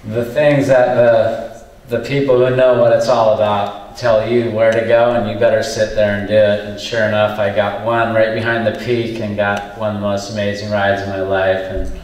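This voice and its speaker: Man, lowish voice, earnest, halting, lots of fillers, distant, very echoey hall. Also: little pitch movement, a little mellow. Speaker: panelist, son of a surfer. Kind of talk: panel discussion answer.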